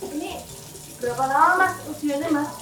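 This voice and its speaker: A woman chats playfully, tossing in exclamations and quiet asides. Her voice is high. She sounds far from the mic, and the room is heavily echoey. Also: brisk. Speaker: vlogger, co-host. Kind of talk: casual chat. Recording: very echoey, distant.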